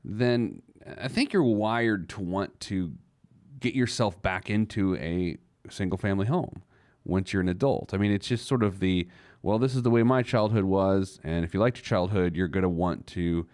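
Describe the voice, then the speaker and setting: Deep-voiced American man, dry close recording. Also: moderate pace, darkish tone, no echo. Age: late 30s. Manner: rambling, wry, conversational. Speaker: radio show host. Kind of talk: casual radio monologue on personal finance.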